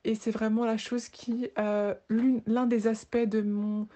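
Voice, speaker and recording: A woman's voice: fairly high pitch, level tone, unhurried, dark tone. A woman in her twenties giving an informal spoken testimonial in an earnest, somewhat hesitant way. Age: 20s. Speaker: voice therapy client giving a testimonial.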